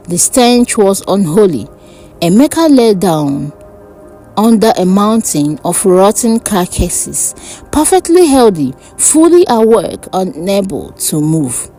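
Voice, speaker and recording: A woman narrating a dark fantasy folk tale in a dramatic, deliberate way. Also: mid-range voice, poor audio. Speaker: storyteller. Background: background music.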